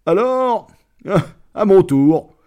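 A man voicing a mighty, scheming male lion who rules as judge, booming and mocking. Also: big pitch swings, very slow, smooth, highs cut off, full character voice. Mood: commanding.

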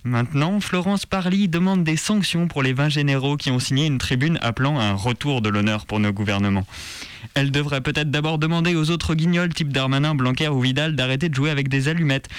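A man's voice: a little high, brisk, clean tone, no room sound. A man reads scripted news commentary on community radio in a sarcastic, militant manner. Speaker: radio show presenter.

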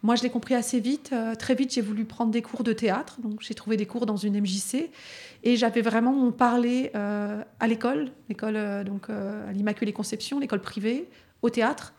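A woman's voice: on the high side, steady tone, fairly quick, a little mellow. A woman from the Lyon area of France speaks in a casual, reflective conversation, telling personal stories with frequent hesitations. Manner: hesitant, frequent fillers, storytelling. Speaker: podcast guest and speaker.

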